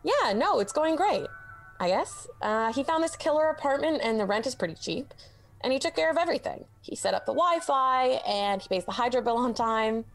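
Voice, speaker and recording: A sardonic young woman in denial, played by a woman with a Canadian accent in a comedy radio play. She deflects with sarcasm and mutters under her breath. Her voice sits fairly high, with faint music behind. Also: expressive, moderate pace. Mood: flat. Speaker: therapy patient. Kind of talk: student radio comedy acting.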